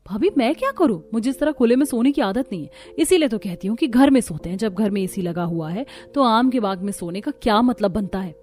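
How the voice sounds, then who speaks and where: A woman's voice: mid-range voice, lively intonation, a little fast, few pauses, darkish tone, natural voice. A woman voicing a put-upon, complaining young daughter-in-law in a cartoon story. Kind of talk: Hindi cartoon story voice acting.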